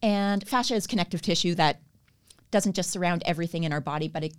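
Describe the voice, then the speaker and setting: A woman's voice: on the low side, fast talker, clear, resonant tone. A woman with a Canadian accent, a podcast guest earnestly explaining her therapy work and laughing easily. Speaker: guest, stretch therapy educator. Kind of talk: podcast interview.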